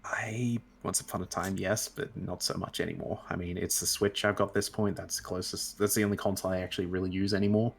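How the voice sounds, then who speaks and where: A man's voice: deep voice, level tone, quick pace, gravelly, few pauses. A man chatting as a guest on an online show, rambling and correcting himself as he tells stories. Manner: rambling, self-correcting storyteller. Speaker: interview guest. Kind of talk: casual chat.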